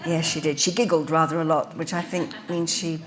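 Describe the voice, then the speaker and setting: A woman's voice: low voice, steady tone, brisk. An older woman hosts a lecture event, introducing the speaker at a podium microphone in a chatty way. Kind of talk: speech introduction. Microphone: podium mic.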